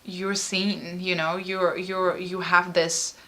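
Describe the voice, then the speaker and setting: Bright-voiced woman, halting and emotional. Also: on the low side, level tone. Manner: halting and emotional, frequent fillers and restarts. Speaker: artist and musician. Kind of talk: personal interview.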